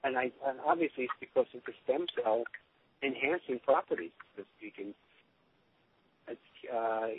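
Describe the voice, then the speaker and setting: A man's voice: medium pitch, lively intonation, moderate pace, pauses often. A man speaking as a doctor giving an informal testimonial on a conference call, rambling and often restarting his phrases. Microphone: telephone. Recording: tinny phone line with clear noise.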